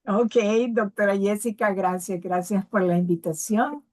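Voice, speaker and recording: A woman from El Salvador giving a personal testimonial in a measured way, often tagging her phrases with a questioning aside. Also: mid-range voice, steady tone, a little mellow.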